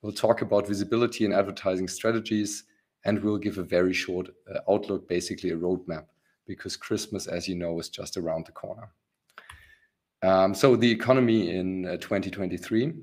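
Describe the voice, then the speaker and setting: A hoarse man hosting a business webinar, talking with many pauses, ums and restarts. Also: low voice, steady tone, darkish tone. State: rough, hoarse voice. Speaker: webinar host, company founder.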